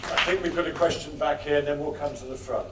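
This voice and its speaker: A man moderating a film Q&A, briskly handing the floor to speakers. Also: a little high, a crowd in the background, background noise. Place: cinema auditorium. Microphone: point-and-shoot camera across the room. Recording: echoey hall, distant camera mic.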